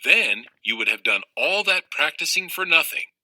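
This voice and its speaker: A chatty storybook schoolgirl, played by a man, doubting and a little catty. The pitch is lifted and swings about, with a very bright, pointed delivery. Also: big pitch swings, moderate pace, no echo, full character voice.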